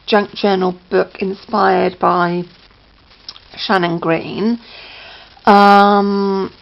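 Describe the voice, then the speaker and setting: Uk lady vlogging at home, voice a bit croaky, lots of ums, muffled sound. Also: medium pitch. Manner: chatty, rambling, many ums and self-corrections. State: slightly croaky voice. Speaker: crafting vlogger. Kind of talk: casual show-and-tell. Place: indoors at home, downstairs near a patio.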